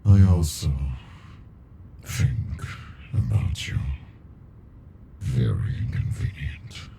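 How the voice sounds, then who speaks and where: A man voicing an ancient captive alien monster in an ASMR roleplay, deep and gravelly, brooding, intimate and possessively smitten with a human. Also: warm tone, slight background noise, full character voice. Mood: brooding, intrigued. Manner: slow, brooding, deliberate, intimate.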